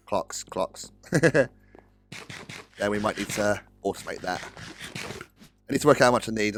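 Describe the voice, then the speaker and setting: A British man with a slightly croaky voice, rambling casually through gameplay commentary and correcting himself as he goes. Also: lowish voice. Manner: rambling, self-correcting, chatty. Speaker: Let's Play host. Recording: dry close-mic recording with faint music.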